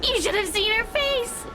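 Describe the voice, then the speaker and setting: A woman's voice: extremely high pitch, exaggerated voice. A woman playing a sly, sadistic spirit in a video game, with a taunting, theatrical, cackling manner. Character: sly, sadistic, mocking spirit who relishes others' suffering.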